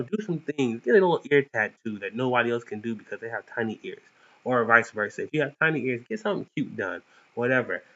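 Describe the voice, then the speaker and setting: A man's voice: medium pitch, a bit bright. Young man with a lisp, pep talk. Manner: earnest, playful, cocky bursts of emphasis. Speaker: vlog host. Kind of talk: motivational talk to camera. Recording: dull lo-fi sound.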